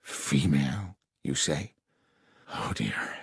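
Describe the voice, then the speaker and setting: A man's voice: extremely low pitch, animated, takes it slowly, creaky, slightly rough, dry recording, studio quality, voice pushed down, heavily acted. A man voicing a shaken, bewildered official in an audiobook, speaking hesitantly. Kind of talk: audiobook character dialogue.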